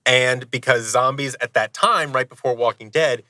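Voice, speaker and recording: A man's voice: mid-range voice, moderate pace, bright, forceful tone, no echo. A man in his thirties chatting casually and animatedly as a podcast guest, telling stories in a self-deprecating way. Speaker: podcast guest, audio drama producer and actor. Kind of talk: casual conversational storytelling.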